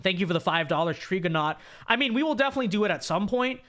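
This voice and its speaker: Young guy streamer, kinda high voice, scratchy sore throat, rambly and opinionated, reads super chats. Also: lively intonation, very fast, a bit bright. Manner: opinionated, repeats himself, many restarts. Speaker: livestream host. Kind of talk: casual livestream commentary and super chat reading.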